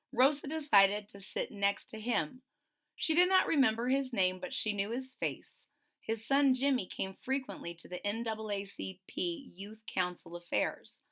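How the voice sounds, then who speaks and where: A woman's voice: mid-range voice, sharp tone. A woman reading a picture book aloud and stopping to explain it simply to children. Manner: clear, explaining asides for children. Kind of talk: storybook read-aloud with explanations.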